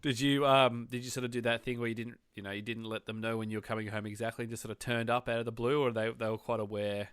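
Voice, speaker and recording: An Australian man hosts a laid-back podcast, his voice sitting in an ordinary middle range. He chats freely, laughs easily and thinks out loud. The sound is dry and close, with no room around it. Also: mid-range voice, little pitch movement, quick pace, few pauses, smooth, dry recording. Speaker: podcast host. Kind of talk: casual podcast chat.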